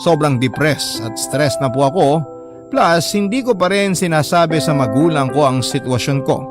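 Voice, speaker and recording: A man's voice: on the high side, lively intonation, normal speed. Filipino male storyteller over prominent music. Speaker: radio drama narrator reading a letter. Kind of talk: dramatic scripted first-person story narration. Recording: music bed behind the voice.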